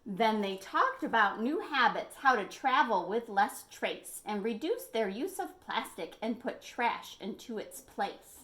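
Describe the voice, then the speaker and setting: Animated high-voiced woman reading to children. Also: a little slow, few pauses. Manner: expressive, asks listeners questions. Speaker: children's librarian reading a picture book aloud. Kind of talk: animated storytime read-aloud for young children.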